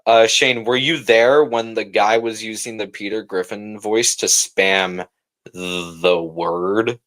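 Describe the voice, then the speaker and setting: A man's voice: fairly low pitch, fairly even intonation, slow, a bit bright. Casual, slangy male livestreamer. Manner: informal slangy talk, often saying bro. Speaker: livestream host. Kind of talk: casual livestream chat, reacting to a film.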